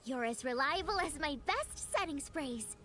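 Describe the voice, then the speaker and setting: A woman's voice: high-pitched, animated, normal speed, fairly bright tone, soft background music. A woman playing a friendly, sincere young woman in a fantasy game. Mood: grateful. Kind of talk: scripted fantasy game cutscene acting.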